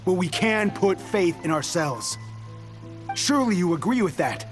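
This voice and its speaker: A man's voice: high-pitched, animated, a little slow. An actor playing a defiant young male resistance soldier in a video game, bitter and unwilling to lay down arms. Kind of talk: game voice acting. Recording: game music behind the voice.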